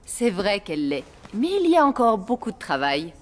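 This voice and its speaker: A woman playing an encouraging but demanding ballet teacher in a French cartoon, measured and instructive. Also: mid-range voice, sing-song, lightly acted. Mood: approving. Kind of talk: dubbed French cartoon acting. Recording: music behind the voice.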